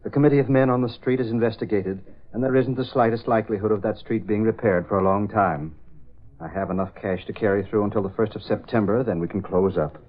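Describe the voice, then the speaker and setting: A man playing a worried, low-voiced shopkeeper. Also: quick pace, natural voice. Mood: discouraged. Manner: hesitant, earnest. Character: worried, earnest middle-class husband and shopkeeper. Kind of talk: 1930s radio drama acting. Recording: muffled old radio recording.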